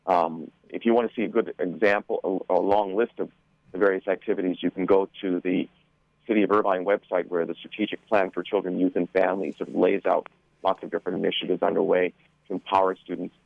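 A man speaking as a school board member in a radio interview, explaining carefully in a halting way and often repeating words. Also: low-pitched, level tone, creaky, dry recording. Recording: muffled narrow phone-like line.

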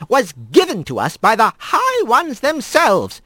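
A man's voice: very high voice, very animated, unhurried, fairly bright tone, no room sound, clean studio sound, pitched above the performer's own voice, full character voice. A man voicing a stern, formidable squirrel priestess in an audiobook, with a hard, solemn manner.

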